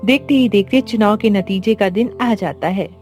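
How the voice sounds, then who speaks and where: A woman's voice: mid-range voice, normal speed, darkish tone. A woman narrating a scripted moral story as its storyteller. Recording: background music under the voice.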